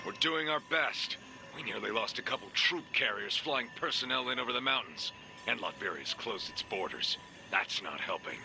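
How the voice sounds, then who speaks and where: A very sharp, forceful voice with grit and big swings in pitch a harried military commander in a game. He talks bluntly and in clipped orders. He comes over a radio link with music behind. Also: lively intonation, moderate pace, low-quality recording. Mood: strained, irritated. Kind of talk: video game cutscene acting.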